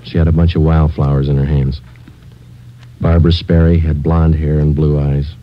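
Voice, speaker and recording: An actor playing a terse, weary police sergeant, narrating a radio crime drama in a clipped, deadpan, matter-of-fact way. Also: male voice, extremely low pitch, normal speed. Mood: grave, factual. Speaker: narrator and lead detective. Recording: dull vintage radio recording with music.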